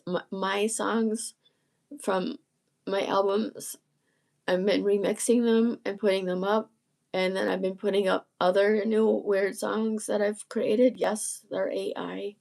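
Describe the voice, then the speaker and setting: A woman with a gravelly, husky, croaky voice, strained after illness, chatting casually on a live stream and often clearing her throat. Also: medium pitch, a little mellow. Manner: rambling, self-interrupting, frequent throat clearing. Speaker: musician and channel host.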